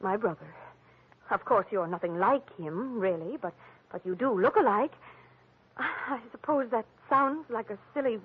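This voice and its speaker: An actress playing a genteel, sentimental wife confiding hesitantly in a detective, in 1940s radio drama style. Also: female voice, on the high side, expressive, measured pace, slightly rough. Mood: wistful, sentimental. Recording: thin, phone-like old radio recording.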